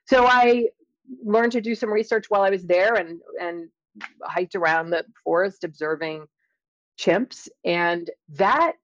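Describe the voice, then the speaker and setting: A middle-aged woman speaking as an interview guest, explaining and telling her experiences in a thoughtful, halting way with drawn-out emphasis. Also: on the low side, no echo, dull audio. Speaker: interview guest, scientist and author. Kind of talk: conversational interview, personal storytelling and explanation.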